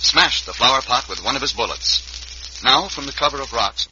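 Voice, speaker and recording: Male radio announcer, very sharp forceful voice, lively pitch swings, theatrical 1950s narration, muffled old recording. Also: a little fast, slight background noise. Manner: emphatic, theatrical. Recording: dull vintage radio recording.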